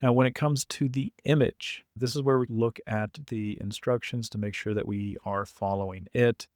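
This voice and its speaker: A man's voice: medium pitch, steady tone, moderate pace, darkish tone, dry recording, clean studio sound. A man teaching in an informal step-by-step screen tutorial, thinking aloud as he works. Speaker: coding tutorial instructor.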